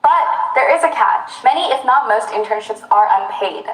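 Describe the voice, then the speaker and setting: A woman's voice: fairly high pitch, animated, normal speed, fairly bright tone. Young woman explaining in a roomy echo. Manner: upbeat, explaining directly to the viewer. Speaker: presenter. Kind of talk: scripted explainer narration.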